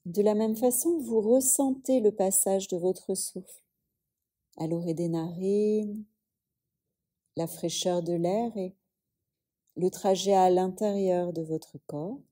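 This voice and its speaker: A woman yoga teacher leading a guided relaxation in a soothing, unhurried way, leaving long pauses between phrases. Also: medium pitch, dark tone.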